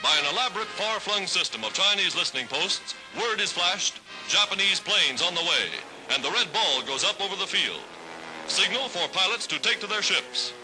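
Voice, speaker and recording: A man's voice: high voice, expressive, moderate pace, music in the background, poor audio. An American man narrating a 1940s wartime newsreel in a dramatic, declamatory, patriotic announcer style, on a vintage soundtrack.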